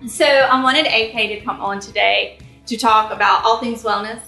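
A woman's voice: fairly high pitch, normal speed, creaky, pushed and bright. A woman hosting an interview podcast in a friendly, enthusiastic, chatty way. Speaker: podcast host. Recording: echoey room with faint music.

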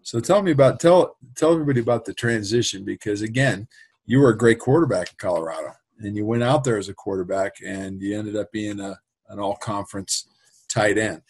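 A middle-aged man with a raspy voice who coaches quarterbacks, interviewing a former player in a casual, teasing way. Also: fairly low pitch, fairly quick, a little mellow. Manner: teasing, chuckling between lines. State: raspy voice from playing golf.

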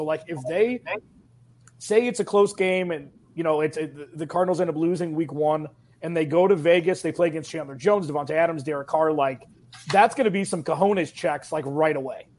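A man's voice: a little high, darkish tone. A man chatting casually about sports as a podcast guest, rambling with lots of "like" and "um".

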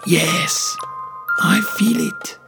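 A man voicing a fussy, solemn little foreign detective in an audiobook story, with emphatic, deliberate, theatrical phrasing. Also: high voice, vocal fry, music in the background, heavily acted. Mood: brooding.

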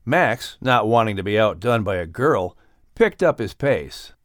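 A man narrating a scripted children's story as an audiobook reader, with expressive delivery. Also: fairly low pitch, unhurried, dry recording, studio quality.

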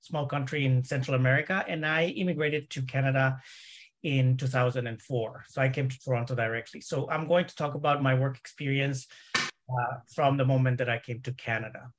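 A man from El Salvador, speaking as a panel guest in a measured way. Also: mid-range voice, fairly even intonation, a little hiss or hum. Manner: measured, with "uh" pauses. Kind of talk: panel discussion.

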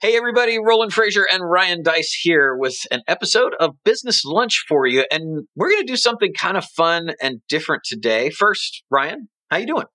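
A man's voice: high-pitched, animated, brisk, hardly any pauses, pushed and bright, no room sound. A middle-aged man co-hosting a casual business podcast, rambling and often restarting his sentences. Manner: rambling, self-correcting. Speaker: podcast co-host.

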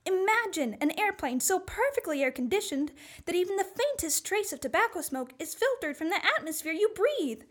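A woman's voice: very high-pitched, very animated, fairly quick. A woman playing a polished, enthusiastic announcer reading a vintage airline radio commercial.

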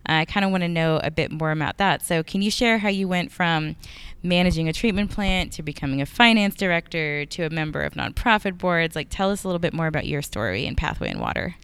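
Podcast host, clear smooth voice, mid pitch, quick and upbeat. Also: expressive, a bit bright. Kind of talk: casual interview.

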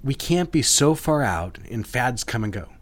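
An actor playing a smooth, commanding married advertising executive of the 1960s in a romantic audio drama, speaking in an intimate, instructive way. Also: male voice, mid-range voice, sing-song, normal speed. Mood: businesslike, instructive.

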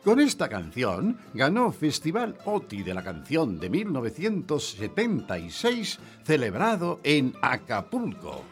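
A man acts as a Spanish quiz host in a radio play, with a mid-pitched voice that moves up and down freely. He is courteous and coaxing, doubling his short phrases, with music clearly behind him. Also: huge pitch swings, unhurried, few pauses. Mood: courteous, consoling. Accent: Spain. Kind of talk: radio theatre quiz presenting.

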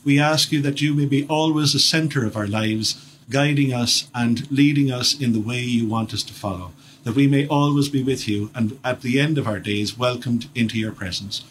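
A man's voice: medium pitch, a little hiss or hum, rough recording. A man in his fifties with an Irish accent, a priest speaking as a radio guest, rambling with many restarts and fillers. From Ireland. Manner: chatty, halting with many restarts.

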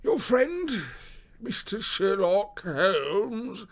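A man voicing a downcast, deferential police detective in an audiobook, speaking formally in a slow, drawn-out, confidential manner. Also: sits high, very animated, voice pushed up, exaggerated voice. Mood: confidential, admiring. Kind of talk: dramatised audiobook character voice.

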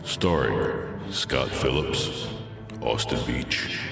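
Extremely deep, echoing man announcing. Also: very slow, gravelly. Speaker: announcer of audio drama credits. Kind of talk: dramatic scripted narration. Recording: heavily echoing voice over music.

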